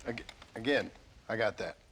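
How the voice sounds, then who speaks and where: An actor playing a weary, terse cowboy with an American accent and a dry, polite way of talking in a western game. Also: male voice, on the low side, moderate pace, fairly bright tone, a little hiss or hum, natural voice. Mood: impatient. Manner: laconic, dry. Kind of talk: western video game acting.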